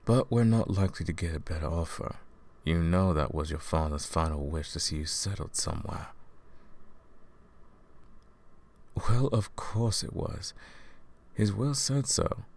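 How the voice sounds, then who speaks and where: A man's voice: deep voice, expressive, normal speed, a little mellow. A man playing a falsely sympathetic, condescending royal advisor in a fantasy audio roleplay, speaking to the listener in a courtly, patronizing, theatrical way. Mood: teasing, persuasive.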